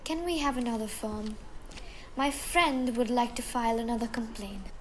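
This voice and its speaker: Young woman as a meek, high-voiced loyal friend. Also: moderate pace, a little hiss or hum, natural voice, poor audio. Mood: apologetic. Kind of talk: high school radio drama acting.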